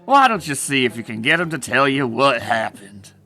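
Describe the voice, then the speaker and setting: Creaky, theatrical stallion racer, acted by a man. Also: medium pitch, very animated, moderate pace, sharp tone, soft background music. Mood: smug, nostalgic. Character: proud, bitter male pony racer with old grudge. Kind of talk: comic dub character acting.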